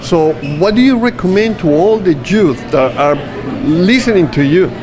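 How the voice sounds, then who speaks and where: A man's voice: sits high, animated, moderate pace. A man hosting a show, interviewing guests on location and often repeating his words. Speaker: show host. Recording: noisy crowd around.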